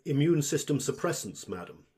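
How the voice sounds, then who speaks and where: A man's voice: fairly high pitch, very animated, normal speed, warm tone, slightly rough. A man playing an obsequious fungus creature who keeps shop through a host body, speaking in formal, over-polite patter in an audio drama. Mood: eagerly obliging.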